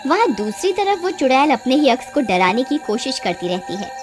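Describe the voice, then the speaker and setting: A woman narrating a cartoon story in a plain, steady storytelling manner. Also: high-pitched, a little fast. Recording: music behind the voice.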